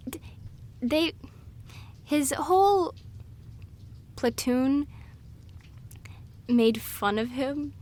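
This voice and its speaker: High-pitched woman rambling casually. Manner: scatterbrained, chatty, amused. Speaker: audio roleplay performer playing a rambling girlfriend. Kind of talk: casual rambling monologue of trivia.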